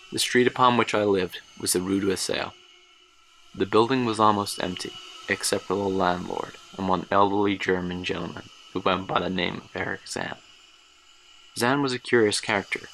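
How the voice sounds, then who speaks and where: A haunted older man in a horror audio drama, telling his tale gravely and with measured, literary care. His voice is very low and gravelly, and there is clear background noise with ambience behind him. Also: pushed and bright. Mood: wistful. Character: haunted older man recalling his student days in Paris. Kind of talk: dramatic first-person horror monologue. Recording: noisy track with ambience behind.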